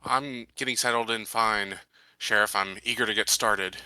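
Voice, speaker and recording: A man's voice: lowish voice, fairly even intonation, normal speed, bright, forceful tone, clean tone, no room sound, poor audio. A man chatting and roleplaying casually as a player in a tabletop game.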